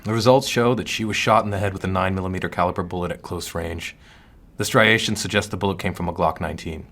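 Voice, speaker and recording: A police colleague in a crime audio drama. His voice is deep, and his delivery is matter-of-fact with a slight hesitance. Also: fast, fairly bright tone. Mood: apologetic, matter-of-fact. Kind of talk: audio fiction acting.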